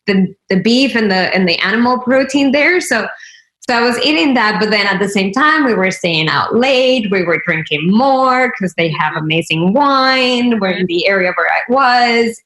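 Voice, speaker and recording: A woman from Venezuela talks as a guest on an interview show. Her voice sits at a medium pitch, and she explains her own experience earnestly and conversationally. The recording is noticeably roomy, with echo around her words. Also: expressive, a little slow, a bit bright. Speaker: interview guest, wellness consultant. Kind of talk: conversational personal storytelling. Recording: roomy, echoing sound.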